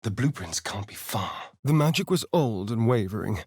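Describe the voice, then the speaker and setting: A man's voice: fairly low pitch, lively intonation, moderate pace, darkish tone, husky, dry recording, studio quality. An actor playing a sly, haughty male vampire rogue in a video game, with an arch, drawling, sardonic delivery. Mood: wry. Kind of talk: video game character voice acting.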